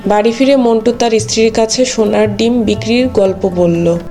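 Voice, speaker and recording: A woman narrates a scripted cartoon tale as the storyteller. Her voice sits fairly high, with music clearly playing behind it. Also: fairly even intonation, normal speed, dark tone.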